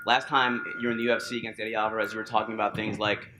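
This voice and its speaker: A man's voice: fairly low pitch, level tone, rapid-fire, very noisy. A man moderating a press conference, asking the fighters questions.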